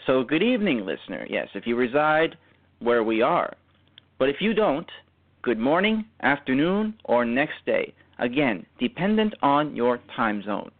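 Radio host on tinny phone line. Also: male voice, medium pitch, big pitch swings. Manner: formal, elaborate announcer phrasing with halting stumbles. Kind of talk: live scripted show introduction.

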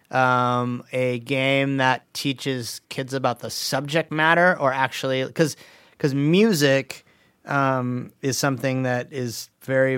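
Smooth-voiced man, dry close sound. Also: medium pitch, a bit bright, no room sound. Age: middle-aged. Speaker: podcast guest, game industry audio designer. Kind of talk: casual conversational podcast talk.

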